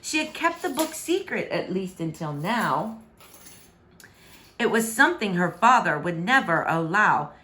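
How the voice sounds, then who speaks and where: A woman reading rhyming children's fiction aloud in an expressive, theatrical, emphatic way. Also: fairly low pitch, measured pace. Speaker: storybook reader. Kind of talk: animated, rhyming children's story narration.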